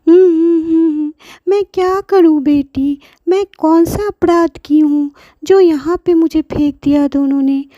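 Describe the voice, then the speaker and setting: A woman's voice: a little slow, warm tone, no room sound, dull audio. A woman voicing an elderly grandmother who pleads tearfully with her family. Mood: weeping. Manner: high, imploring, weepy.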